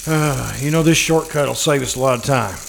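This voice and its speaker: A man playing a stubborn husband in an old-time radio thriller, with a mid-pitched voice. He waves away worries in a halting, dismissive way, on a clearly noisy live recording. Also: lightly acted. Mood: dismissive reassurance. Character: stubborn, dismissive husband driving at night, reassuring. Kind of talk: old-time radio suspense drama acting.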